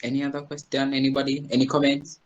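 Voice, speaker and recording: A man's voice: mid-range voice, completely flat delivery, fast talker, muffled recording. A Jamaican man, a Chinese teacher, explaining things to a group on a video call and often checking in with "right?". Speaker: guest presenter, Chinese teacher. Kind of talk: online presentation to a group.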